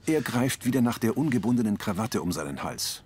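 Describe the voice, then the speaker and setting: A man narrates a TV series as an audio describer. His voice sits fairly low and moves expressively in pitch while reading scripted scene descriptions. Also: big pitch swings, a little fast, a little mellow, slight background noise.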